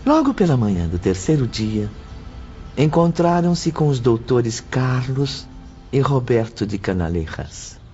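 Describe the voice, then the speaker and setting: A man's voice: medium pitch, sing-song, unhurried, mellow, muffled recording, soft background music. A man reading scripted audiobook narration in a solemn, literary manner.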